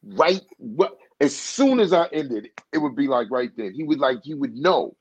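A man's voice: medium pitch, big pitch swings, normal speed, husky, no room sound. A man being interviewed as a singer, telling a long casual story with lots of "you know what I'm saying" and restarts. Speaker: guest singer. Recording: dull, dry muffled recording.

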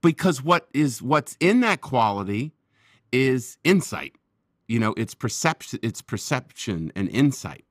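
A man's voice: medium pitch, sing-song, measured pace, no room sound. Talkative male podcast guest, big voice, dry close-miked sound. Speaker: podcast guest, improv performer. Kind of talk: casual chat.

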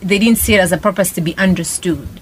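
A woman's voice: on the low side, quick pace, a little hiss or hum. Thoughtful woman explaining with examples. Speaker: podcast co-host. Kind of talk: casual chat.

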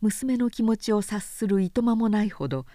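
A woman narrates a literary story in a calm, measured, soft-spoken manner. Her tone is mellow and warm, at a middle pitch. It sounds like a dry, close booth recording. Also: no echo. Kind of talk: literary reading.

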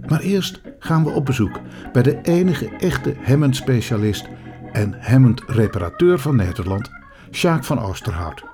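A man's voice: mid-range voice, sing-song, measured pace, music in the background. A man reading scripted narration for a documentary podcast as its narrator.

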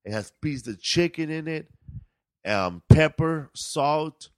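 A man's voice: on the high side, expressive, takes it slowly, clear, resonant tone, dry recording, clean studio sound. A man hosting a casual podcast, chatting in a laid-back, slangy, repetitive way. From California. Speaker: podcast host. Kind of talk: casual comedic podcast chat.

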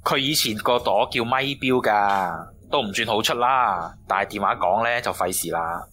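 A man's voice: lowish voice, moderate pace, sharp tone, slight background noise. A man acting a cagey, streetwise informant in a radio drama, using slangy Hong Kong Cantonese. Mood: cagey, knowing.

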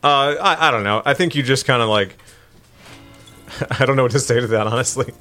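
A man casually chatting and joking while playing a video game on a podcast, reacting loudly to the screen. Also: mid-range voice, normal speed, soft background music. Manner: jokey, animated reactions. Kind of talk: casual chat while gaming.

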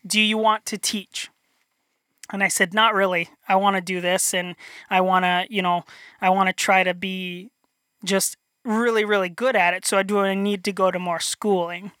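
High-voiced young man, dry sound. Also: level tone, moderate pace, pushed and bright, no room sound. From North Dakota. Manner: rambling, self-deprecating, frequent restarts and chuckles. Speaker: illustrator guest. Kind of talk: casual interview chat.

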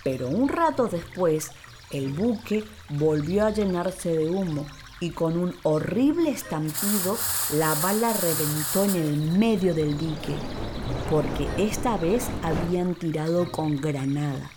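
A woman storyteller narrating for children in an expressive, dramatic way, with deliberate, emphatic phrasing. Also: on the low side, noisy background. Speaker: actress, storyteller. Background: music and water sound effects.

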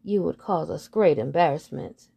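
A woman voicing a snobbish, haughty head bird with a pompous, drawn-out way of speaking. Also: deep voice, animated, creaky, mellow, husky, pitched below the performer's own voice, full character voice. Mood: scornful.

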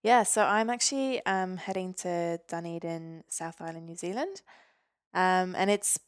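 Low-voiced woman from Norway chatting informally. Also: a little slow, clear, resonant tone, no echo, clean studio sound. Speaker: podcast guest, physiotherapist and weightlifter. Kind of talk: casual interview chat.

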